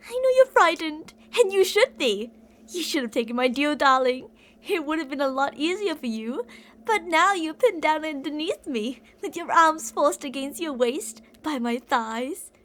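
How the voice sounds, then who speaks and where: A woman's voice: high-pitched, lively intonation, moderate pace, a bit bright. A woman playing an obsessive older female kidnapper in an ASMR roleplay, cooing and possessive, doting yet menacing, with theatrical delivery. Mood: menacing, teasing. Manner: cooing, possessive, theatrical, with pet names and whispered phrases.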